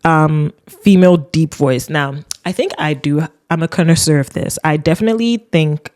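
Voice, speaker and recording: A young adult woman with a deep voice, hosting a podcast and ranting casually with laughs. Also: normal speed, a little mellow. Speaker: podcast host. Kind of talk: casual solo rant.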